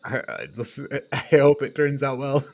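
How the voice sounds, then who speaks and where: A man's voice: medium pitch, measured pace, darkish tone, dry recording. A man co-hosting a casual film review chat, informal and given to swearing and laughing. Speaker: podcast co-host.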